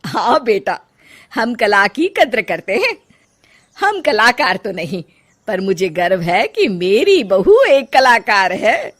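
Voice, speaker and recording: A woman's voice: medium pitch, sing-song, takes it slowly, fairly bright tone, heavily acted. A woman voicing a bossy but kindly middle-aged mother-in-law in a dramatised story, motherly and emphatic. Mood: proud, kindly.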